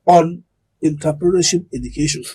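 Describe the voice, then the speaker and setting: High-pitched, husky Nigerian man. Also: fairly quick, dark tone. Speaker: education expert guest. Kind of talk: broadcast interview, explanatory.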